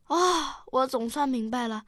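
A woman's voice: high-pitched, measured pace, a bit bright, dry recording, studio quality. A woman playing a curious, earnest young child in a radio drama. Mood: puzzled, curious. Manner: inquisitive, earnest. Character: curious, eager young child, grandchild in family.

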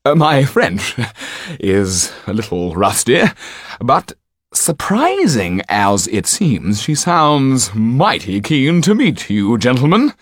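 A man's voice: medium pitch, wildly swooping pitch, slow, dry recording, clean studio sound, pitched above the performer's own voice, heavily acted. A man voicing a long-winded, theatrical male actor who speaks in grand, flowery phrases. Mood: sly.

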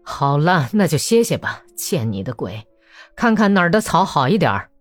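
A curt, grief-stricken male officer, read by a woman lowering her voice, speaks in short, quiet phrases. The recording is dry and close, with faint music behind it. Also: very animated, moderate pace, no echo. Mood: curt, grieving. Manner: terse, subdued. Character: grieving curt Cossack officer, middle-aged man.

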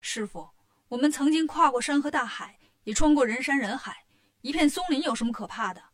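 A woman's voice: sits high, animated, a little fast, a bit bright. Woman acting out male monkey hero, storybook, confident, brash, emphatic, bold.

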